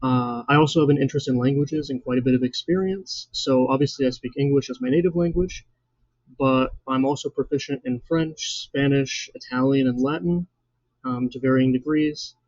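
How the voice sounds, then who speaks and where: A young man in his twenties, hosting his own channel, introducing himself in an earnest, measured way with frequent fillers. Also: medium pitch, darkish tone, no room sound, dull audio. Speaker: channel host. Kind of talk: scripted personal introduction.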